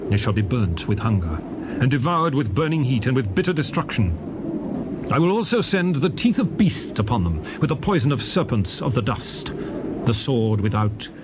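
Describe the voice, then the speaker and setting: An actor playing Moses as a stern, solemn old prophet, declaiming scripture in measured phrases. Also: male voice, medium pitch, sing-song, noisy background, lightly acted. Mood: wrathful. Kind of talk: dramatized scripture recitation.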